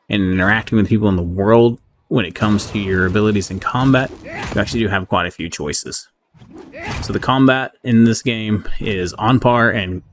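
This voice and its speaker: A man giving casual, unscripted game review commentary in a halting, conversational way. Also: fairly low pitch. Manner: halting, conversational, often checks in with "right?". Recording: clearly audible background noise.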